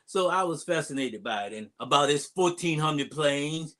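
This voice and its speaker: Older male imam, slightly high-pitched voice, unscripted storytelling, halting delivery, wandering asides. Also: expressive, fairly bright tone. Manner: halting delivery with long digressions. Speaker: imam giving a public address. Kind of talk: unscripted storytelling address, reminiscing.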